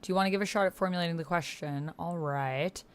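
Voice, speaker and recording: Lower-voiced woman chatting casually over a game. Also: quick pace, smooth. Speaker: host playing a text-based roleplaying game. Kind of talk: casual chat while playing along with typed roleplay.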